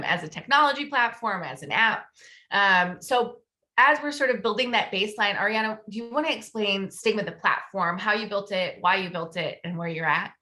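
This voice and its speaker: A woman hosting a podcast interview, asking questions in a lively way. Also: mid-range voice. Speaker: podcast host.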